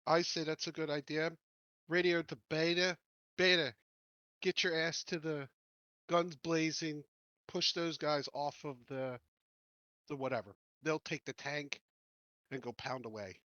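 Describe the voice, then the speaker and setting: Bright, higher-voiced man playing a tabletop game. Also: steady tone, a little slow, long pauses, no echo, dull audio. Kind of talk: casual in-character game chat.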